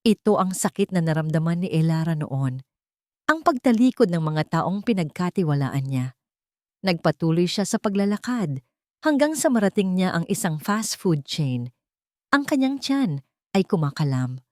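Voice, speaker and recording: A woman narrating a dramatic story in a steady, scripted storytelling manner. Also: lowish voice, normal speed, no room sound, studio quality.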